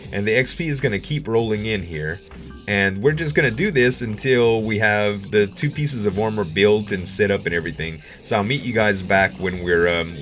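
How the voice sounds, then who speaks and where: A man's voice: on the low side, fairly bright tone. A man giving casual gaming commentary as a video host, rambling and thinking aloud.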